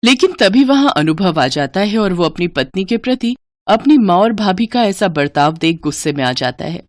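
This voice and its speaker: A woman's voice: mid-range voice, very animated, moderate pace, no room sound, clean studio sound. A woman narrating a scripted moral story for children as the storyteller.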